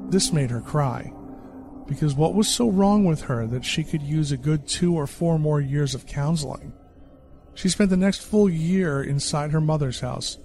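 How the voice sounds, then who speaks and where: A man with a fairly high, gravelly voice reads a horror story in a measured, deadpan way. Faint music plays behind him. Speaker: narrator.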